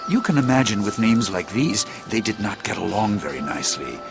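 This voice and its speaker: Deep, sweeping wise storyteller sage. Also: male voice, very animated, creaky. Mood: storytelling. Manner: stately, deliberate, theatrical. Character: wise old sage storyteller, solemn male former schoolmaster. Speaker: narrator. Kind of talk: dramatic audio drama storytelling. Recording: loud music bed under the voice.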